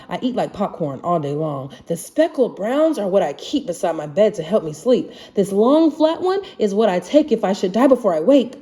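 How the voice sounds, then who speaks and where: A woman reading a children's rhyming picture book aloud in an expressive, singsong way. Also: medium pitch. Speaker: storybook reader.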